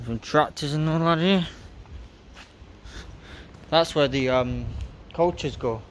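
A man filming a casual walking vlog outdoors, chatty and excited as he points things out on a handheld phone. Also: fairly high pitch, slow, a little hiss or hum. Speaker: vlogger filming a stadium walk. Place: outdoors around a stadium. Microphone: handheld phone camera.

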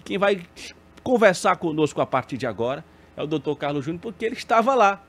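A man's voice: a little high, big pitch swings, a little fast, fairly bright tone. A man hosting a morning TV news program from a studio, introducing and questioning a guest in a conversational way with tag questions. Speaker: TV news host.

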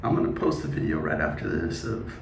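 A man in his forties, a spoken word poet, chatting casually and reciting rhymes on a hiking trail, relaxed and upbeat. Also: extremely low pitch, fairly quick, reverberant, a little hiss or hum. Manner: upbeat, laid-back, laughs easily. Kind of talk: casual vlog chat and rhyming poetry.

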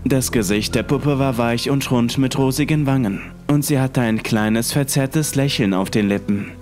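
A man's voice: mid-range voice, moderate pace, darkish tone. A man reading a first-person horror story as scripted narration. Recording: faint music underneath.

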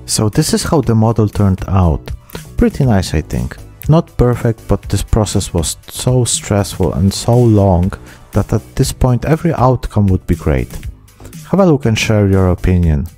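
A man in his thirties hosts a hobby painting vlog. His voice sits fairly low and has a very mellow, soft-edged tone. Faint music plays behind him. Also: very animated, unhurried. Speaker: hobby channel host. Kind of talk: miniature painting vlog narration.

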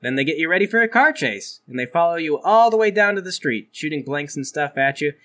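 A man's voice: fairly high pitch, expressive, fairly quick, fairly bright tone. A man working as game master, improvising narration for a tabletop game.

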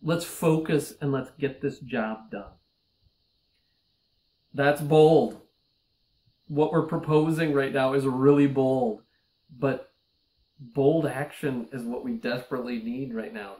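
40s guy, seated in his office, earnest pitch to camera, gets pushy and urgent, regular mid voice. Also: steady tone, unhurried, long pauses, darkish tone. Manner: earnest, urgent, building to emphatic pleas. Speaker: nonprofit research director. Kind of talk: direct-to-camera appeal. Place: office with bookshelves, indoors. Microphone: close mic, seated on camera.

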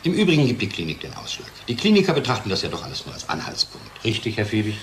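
An actor playing a defensive, world-weary research scientist in German-style radio drama dialogue. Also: male voice, fairly low pitch, huge pitch swings, rapid-fire, a bit bright, a little hiss or hum. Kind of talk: dialogue radio-play acting.

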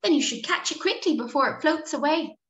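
A woman's voice: high-pitched, lively intonation, fairly quick, a bit bright, pitched above the performer's own voice. An Irish woman voicing a showy, preening but helpful sunfish in a children's storybook. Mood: surprised, helpful. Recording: muffled, echoey room recording.

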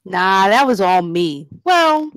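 A woman's voice: medium pitch, sing-song, takes it slowly, fairly bright tone, no echo, clean studio sound. A woman playing a defiant, loyal young gang member, slangy, casual and defensive in a script read.

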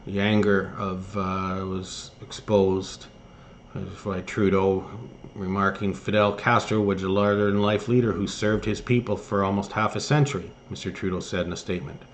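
A man with a fairly low voice presents online political commentary. He reads written pieces aloud and adds his own remarks in a halting way. Some noise sits behind his voice. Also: level tone. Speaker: host of an online news commentary show. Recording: noticeable background noise.

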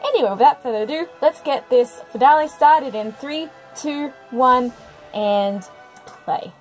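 Casting: woman reaction host, fairly high voice, chatty and exclamatory, soft music behind. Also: measured pace, low-quality recording. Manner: expressive, exclamatory asides. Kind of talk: casual reaction commentary.

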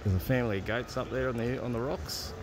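A man's voice: medium pitch, steady tone, fast. An Australian man chatting and narrating casually off the cuff while filming outdoors at a campsite. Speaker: camper filming and guiding a radio call. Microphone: handheld camera or phone mic. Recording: noisy outdoor phone-camera recording.

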